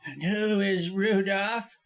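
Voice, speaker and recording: A man's voice: high voice, slow pace, pitched above the performer's own voice. A man voicing a cowardly, venomous lean lawyer in an audiobook, with a snarling, gasping, nervous manner. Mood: angry. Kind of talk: audiobook character acting.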